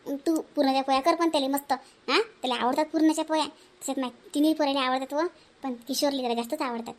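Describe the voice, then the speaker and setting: A woman's voice: sits high, steady tone, quick pace, natural voice. A woman voicing village women in a family cartoon, bickering in-laws, speaking Marathi with a Varhadi accent. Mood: chatty.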